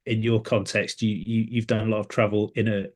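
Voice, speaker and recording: Low-voiced middle-aged man, tentative. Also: level tone, brisk. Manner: tentative, self-correcting. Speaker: podcast co-host. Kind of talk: casual reflective conversation.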